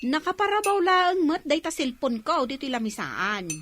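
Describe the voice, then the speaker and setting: High-voiced practical village wife played by an actress. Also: female voice, very animated, moderate pace, a bit bright, slight background noise. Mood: irritated. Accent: Ilocano. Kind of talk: Ilocano comedy radio drama acting.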